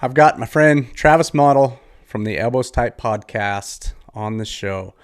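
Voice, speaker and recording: An American man hosting a podcast interview, chatting in an informal, friendly and somewhat halting way. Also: mid-range voice, smooth, soft background music. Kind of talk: casual interview chat.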